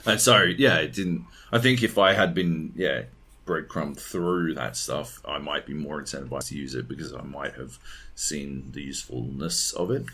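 A man's voice: a bass voice, normal speed, bright, forceful tone, husky. A man chatting casually and bluntly as a podcast co-host, rambling and swearing as he reviews video games. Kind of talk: casual unscripted chat.

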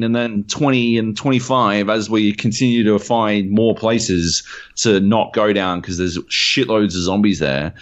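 An Australian man, mid-pitched, telling a story on a podcast in a loose, animated way, swearing freely and pausing on fillers. The audio is slightly dull and lo-fi. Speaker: podcast co-host. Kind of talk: casual podcast chat.

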